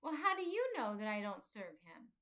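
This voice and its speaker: A woman's voice: mid-range voice, sing-song, normal speed, sharp tone. A woman voicing a vain, touchy boy in a children's storybook, cross and haughty. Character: vain, touchy, boastful boy pilgrim, easily angered. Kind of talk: children's storybook character reading.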